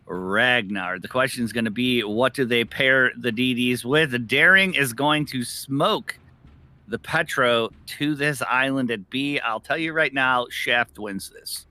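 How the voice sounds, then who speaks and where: Very bright-voiced man co-casting a game match. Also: medium pitch, measured pace. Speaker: esports co-commentator. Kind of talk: live game commentary, conversational.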